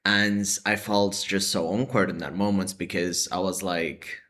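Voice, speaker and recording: A young man with a deep, low-pitched voice chats informally to his viewers in a vlog. He speaks in a halting, hedging way, scattering "like" and "sort of" through his sentences. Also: fairly even intonation, fairly bright tone. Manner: hesitant, full of "like" and "sort of" hedges. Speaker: vlogger. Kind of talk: casual to-camera chat.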